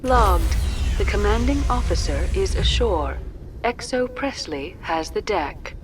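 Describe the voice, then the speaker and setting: An actress playing an impersonal ship computer making automated status announcements in a video game. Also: female voice, mid-range voice, expressive, slow pace. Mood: neutral, procedural. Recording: distant voice with music behind.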